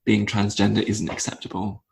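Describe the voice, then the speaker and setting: Gravelly guest, thoughtful and halting. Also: male voice, on the low side, completely flat delivery. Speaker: podcast guest. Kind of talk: casual interview talk.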